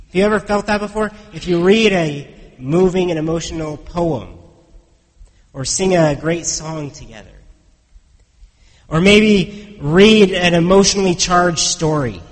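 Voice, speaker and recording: Hoarse, congested man preaching in a reverberant church. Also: high-pitched. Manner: conversational, asks the congregation questions. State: hoarse from a head cold. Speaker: pastor. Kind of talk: sermon.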